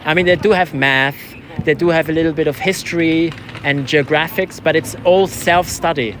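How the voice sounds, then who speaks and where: A man from Switzerland who learned English as a second language talks casually to camera and companions. His voice sits a little high, and his delivery is conversational, with frequent affirmations. Faint outdoor street noise sits behind him. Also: moderate pace, a bit bright. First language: not English, learned English after moving to England. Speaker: vlogger, protester. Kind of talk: casual chat. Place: outdoors on a city street at a protest.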